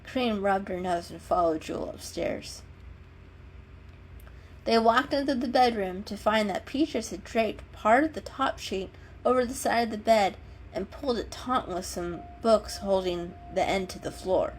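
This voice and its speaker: A woman's voice: mid-range voice, unhurried, slight background noise, rough recording. A woman storyteller giving a dramatic scripted reading of a children's story. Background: background music.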